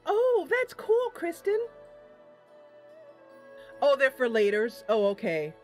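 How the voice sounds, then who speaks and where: A woman's voice: sits high, wildly swooping pitch, takes it slowly. Playful woman streaming a game over music. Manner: playful, giggly, chatty. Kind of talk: casual live-stream chat and role-play narration.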